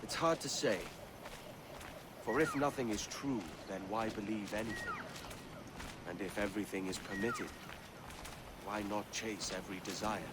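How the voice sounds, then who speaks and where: A man's voice: on the low side, normal speed, long pauses, heavy background noise, close to an everyday voice. An actor playing a weary, remorseful pirate captain in his thirties with a British accent, earnest and plain-spoken, in a video game cutscene. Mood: reflective. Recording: background music under the dialogue.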